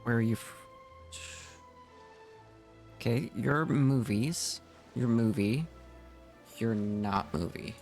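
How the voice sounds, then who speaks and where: A man giving casual live gameplay commentary, muttering to himself in a halting way. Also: medium pitch, animated, a little mellow. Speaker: game streamer. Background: game music.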